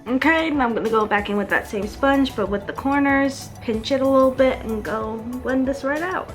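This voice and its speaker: A young woman narrates her everyday makeup routine in a chatty, upbeat way. Her voice sits a little high. Soft music plays faintly under her talk. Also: normal speed. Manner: chatty, upbeat, talks while working. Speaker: beauty vlogger. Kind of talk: casual makeup tutorial. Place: outdoors with wind and traffic.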